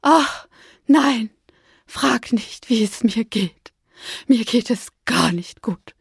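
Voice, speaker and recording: A gloomy old owl, as a woman puts her on, creaking and high as she drags out her complaints slowly. A grumpy storybook bird who sounds heavy and miserable, recorded close and dry. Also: expressive, dry recording, exaggerated voice. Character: sad old female owl, grouchy and scared.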